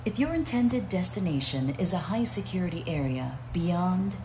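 A woman voicing a calm automated train announcement system from a game, measured, formal and impersonal. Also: lowish voice, noisy background. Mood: impersonal, composed. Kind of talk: scripted public-address announcement.

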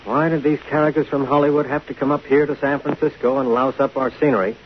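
An American actor playing a sardonic, jealous but fond police lieutenant in a 1950s radio detective drama. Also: male voice, medium pitch, level tone, quick pace, natural voice. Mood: grumbling. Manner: sardonic, grumbling. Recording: muffled, hissy old 1950s radio recording.

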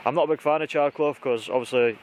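A man's voice: medium pitch, fast talker. A man in his thirties with a British accent, giving an informal, chatty gear walkthrough to camera as an outdoor vlogger. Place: outdoors in conifer woodland. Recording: outdoor recording with clear background noise.

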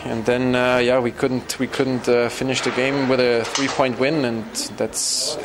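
A young man, a team captain, giving a halting post-game interview in an arena corridor. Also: mid-range voice, level tone, noisy background. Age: young adult. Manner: halting, repeating words as he searches. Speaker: ice hockey team captain. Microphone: handheld interview mic.

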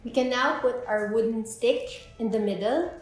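A young woman guides viewers through a recipe step by step. Her voice sits fairly high. The room is strongly echoey, and music plays behind her. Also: moderate pace. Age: 20s. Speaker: host of a drink recipe video. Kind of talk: scripted step-by-step tutorial presenting. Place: indoor kitchen with bare walls.

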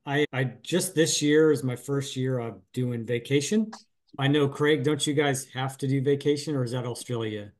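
A man's voice: mid-range voice, moderate pace, darkish tone. A middle-aged man who owns a painting business, chatting casually and a little self-deprecatingly on a group video call from home. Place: small room at home.

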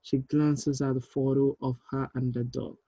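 A man in his thirties reading screenplay directions aloud in a measured, deliberate way, with small stumbles. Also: mid-range voice, little pitch movement, warm tone, husky, no room sound, dull audio. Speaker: writer reading stage directions at a table read. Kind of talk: script narration, reading aloud. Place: indoor room, on a video call.

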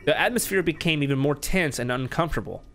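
A man's voice: a little high, fast talker, a bit bright. A man in his twenties giving casual, slangy gameplay commentary and reading aloud, bantering as he plays. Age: 20s. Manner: informal, slangy, bantering with the game. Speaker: gamer, let's player.

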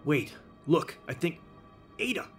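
A man playing a shaken, earnest young policeman, halting and breathless. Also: a little high, big pitch swings, a bit bright, soft background music, heavily acted. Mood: urgent.

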